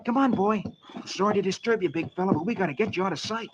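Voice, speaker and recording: Man voicing an earnest high-pitched little boy. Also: quick pace, lightly acted. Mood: tender, urgent. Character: earnest, excitable little farm boy hero. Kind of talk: 1940s children's radio comic-strip reading. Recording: muffled, noisy vintage radio recording.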